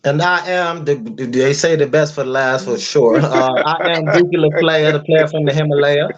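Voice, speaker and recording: A man's voice: a little high, steady tone, normal speed, over a noisy crowd. A man casually introducing himself as a podcast guest. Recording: dull lo-fi audio.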